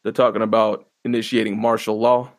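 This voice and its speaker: Monotone, gravelly male podcast host. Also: on the low side, a little fast, dry recording, studio quality. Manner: laid-back, profane, rambling with many fillers. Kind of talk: casual solo podcast commentary. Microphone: close podcast microphone.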